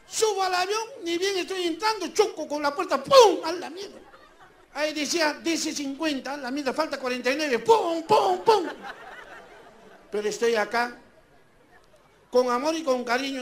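High-pitched Peruvian man doing stand-up. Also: a bit bright. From Peru. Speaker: stand-up comedian. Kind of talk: stand-up comedy with audience banter. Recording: live show with crowd and background noise. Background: audience ambience.

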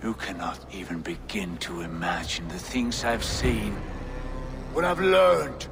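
A man's voice: fairly low pitch, a little slow, over music. An actor playing a proud, suspicious Viking jarl in his thirties, theatrical and accusing, in a video game. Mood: grandiose fury.

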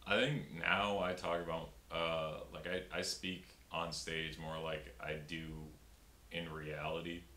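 A man's voice: level tone, takes it slowly, pushed and bright. A young man, a stand-up comedian, talking casually in an interview with many fillers and restarts, filmed in a small room. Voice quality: very deep voice. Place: small room before a pink backdrop. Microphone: fixed camera, upper-body framing. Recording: roomy with faint hiss.

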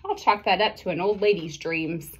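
A woman's voice: on the low side, normal speed, breathy edge, pitched below the performer's own voice, poor audio. A woman voicing a spirited, encouraging old great-great-aunt from a children's storybook, with emphatic delivery. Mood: wistful.

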